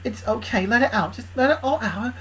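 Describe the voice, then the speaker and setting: A woman playing a soft, gentle comforting friend. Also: a little high, brisk, a little hiss or hum, natural voice, rough recording. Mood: soothing.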